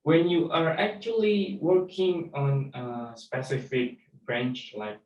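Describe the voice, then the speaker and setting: A man's voice: on the high side, fairly even intonation, slow, darkish tone. Young Indonesian man, muffled distant recording. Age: young adult. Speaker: interview guest, software developer. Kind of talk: casual online interview answers. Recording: muffled, roomy, distant mic, faint noise.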